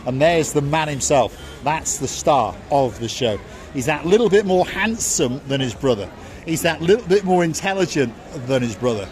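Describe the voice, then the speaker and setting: A man's voice: fairly high pitch, animated, moderate pace, soft background music. A man from North Wales with a Welsh accent, hosting a walking vlog and joking with people he meets. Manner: jokey, upbeat, chatty with strangers. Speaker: vlog host. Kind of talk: walking vlog chat, guiding a camera. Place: busy harbour front outdoors at evening. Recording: outdoor crowd chatter behind.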